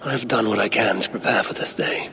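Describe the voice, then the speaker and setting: An actor playing a proud, steady Norse warrior leader in a video game, with a gravelly voice and measured, resolute delivery. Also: male voice, on the low side, noisy background. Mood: brooding. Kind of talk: dramatic video game acting.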